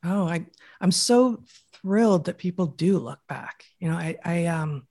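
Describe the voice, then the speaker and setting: A podcast guest with a mid-range voice that has a gravelly, creaky edge. The guest explains ideas at length in a thoughtful, lecturing way. Each idea is built up step by step. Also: mid-range voice, expressive, unhurried, a little mellow. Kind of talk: explanatory interview answers.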